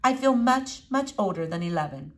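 A woman's voice: mid-range voice, lively intonation, moderate pace, natural voice. A woman voicing a pompous, long-winded eleven-year-old boy with oddly formal, precise speech in a children's audiobook. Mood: formal, matter-of-fact.

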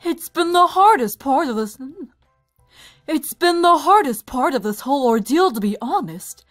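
A woman's voice: sits high, lively intonation, moderate pace, faint music behind. A woman reading scripted drama lines as an amateur voice actor, breaking off into playful asides.

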